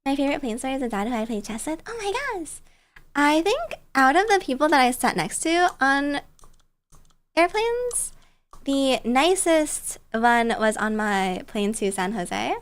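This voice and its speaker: A woman's voice: sits high, big pitch swings, measured pace. A woman streamer telling stories casually in a bubbly, playful, cutesy way. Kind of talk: casual storytelling chat on a livestream.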